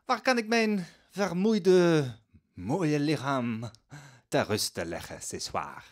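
An actor playing a flamboyant, vain, frivolous travelling gentleman in a radio drama, gushing theatrically. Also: on the high side, big pitch swings, takes it slowly. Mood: smug, breezy. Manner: theatrical, effusive, gushing with exclamations.

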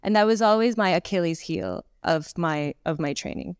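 A woman in her thirties with a Canadian accent, a Pilates instructor chatting as a guest on a video call, talking with lively gestures and lots of fillers. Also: fairly low pitch, normal speed, smooth, no room sound, clean studio sound. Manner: chatty, animated hand gestures, frequent fillers. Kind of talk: casual conversational interview. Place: home room, indoors.

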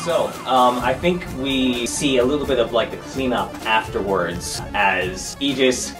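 A man's voice: mid-range voice, measured pace, loud music behind the voice, low-quality recording. A man acting as game master, narrating and explaining rules in an improvised tabletop roleplay.

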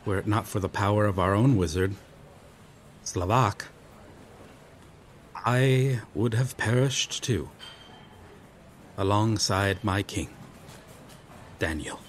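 A man's voice: fairly low pitch, slow pace, pauses often, slight background noise. A man playing a noble, earnest medieval knight lost in modern times in an audio roleplay, speaking in formal, archaic, solemn phrases. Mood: grave, recounting. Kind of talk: ASMR audio roleplay, dramatic monologue.

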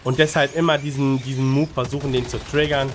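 A man's voice: mid-range voice, little pitch movement, fairly quick, noisy background. A man with a slight cold giving casual gameplay tips in a colloquial way, with English gaming slang and frequent tag questions. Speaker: gaming guide creator and streamer. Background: music under the commentary.

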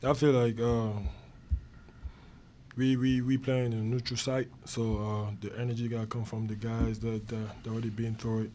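A young man, a college basketball player, answering questions in halting speech with many fillers and repeated words, at a post-game press conference. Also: medium pitch, flat delivery, mellow, a little hiss or hum. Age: young adult. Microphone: table mic.

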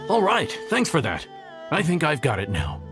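An actor playing a friendly, humble young inn chef in a video game, folksy and easily flustered. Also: male voice, on the high side, huge pitch swings, moderate pace. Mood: upbeat. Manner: affable, folksy, slightly nervous. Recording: game music behind the voice.

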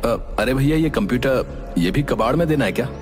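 A man's voice: medium pitch, expressive, normal speed, close to an everyday voice. A man playing an eager young village man with business dreams in a cartoon story. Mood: curious. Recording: music behind the voice.

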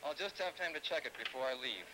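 A man's voice: a little high, lively intonation, fast talker, noisy background, close to an everyday voice. A man playing a dutiful young American fireman and husband in a 1970s educational film. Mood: matter-of-fact. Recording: old film soundtrack with music.

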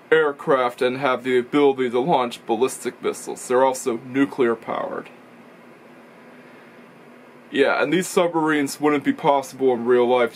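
A man's voice: medium pitch, slight background noise, rough recording. A young man talking casually to camera as a racing video reviewer, rambling and correcting himself as he explains. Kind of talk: casual vlog commentary. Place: small indoor room full of toy shelves. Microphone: camera or webcam in front of him.

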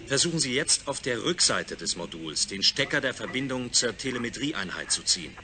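A man's voice: mid-range voice, background noise, close to an everyday voice. An actor playing a composed, exacting male ground controller giving matter-of-fact instructions in a radio play.

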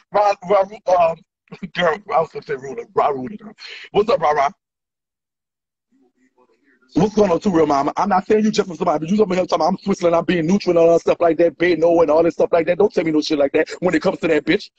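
A man's voice: sits high, breathy edge. A man, ranting angrily and profanely at his followers in a selfie video, recorded on a phone held at arm's length indoors. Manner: angry, profane, repetitive, gesturing wildly. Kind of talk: heated selfie-video rant. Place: indoor room. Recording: dull lo-fi phone audio.

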